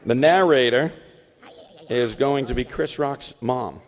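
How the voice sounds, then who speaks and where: A man's voice: medium pitch, slow, noisy background. Casual male host in an echoey hall. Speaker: host of live voice-acting show. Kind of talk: casual stage announcing, assigning parts. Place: convention stage in a large hall. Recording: echoey convention hall reverb.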